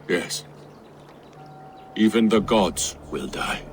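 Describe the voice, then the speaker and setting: Very deep, grieving Norse berserker. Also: male voice, lively intonation, slight background noise. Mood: resigned. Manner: slow, solemn, poetic. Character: grieving, aging Norse berserker warrior, solemn and weary.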